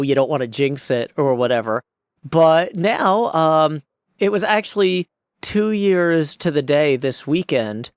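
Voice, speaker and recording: A man's voice: a little high, clear, resonant tone, dry recording, clean studio sound. An American man of forty talking casually and reflectively in a personal vlog, with folksy phrasing and rambling asides. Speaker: video creator and host.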